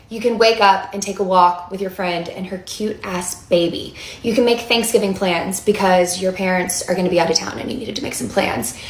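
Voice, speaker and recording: A chatty woman in her late thirties runs through long rambling lists, now and then speeding up. She sounds like she is in a roomy, echoey space. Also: medium pitch. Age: 30s. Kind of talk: casual video confessional.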